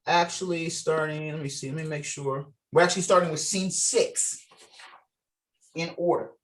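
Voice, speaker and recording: A man's voice: a little high, steady tone, normal speed, a bit bright. A man leading a table read, mixing informal rehearsal talk with reading out stage directions. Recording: distant mic on online call.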